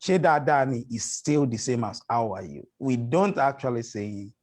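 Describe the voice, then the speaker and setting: Man, show founder and co-host, relaxed panel chatter, laughs often, mid-range voice. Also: medium pitch, moderate pace. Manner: laughing often, teaching greetings. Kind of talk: casual panel chat.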